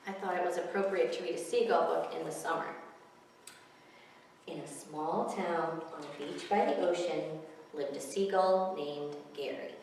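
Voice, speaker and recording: A woman's voice: low voice, fairly even intonation, normal speed, darkish tone. Woman reading aloud in an echoey hall. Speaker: children's library story time host. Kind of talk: expressive picture-book read-aloud for children. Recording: very echoey, distant mic, faint noise.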